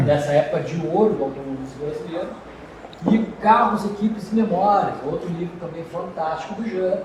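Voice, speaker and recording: A man with a fairly high voice talks quickly and cheerfully. He sounds like he is in a very echoey room with clear background ambience. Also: far from the mic, noisy background. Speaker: car club member. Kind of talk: casual promotional chat.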